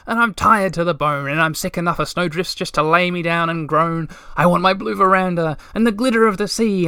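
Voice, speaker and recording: A man voicing a lonely, defiant young troll boy who shouts and pleads in a sing-song way. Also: high-pitched, dry recording, studio quality, pitched above the performer's own voice, heavily acted.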